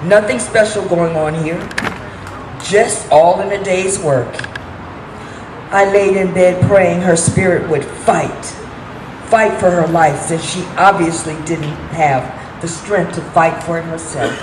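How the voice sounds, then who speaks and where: A woman performing a dramatic monologue as a weary, grieving prisoner bearing witness in a deliberate, heartfelt way. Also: fairly low pitch, fairly even intonation. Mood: weary sorrow. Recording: echoey room with background noise.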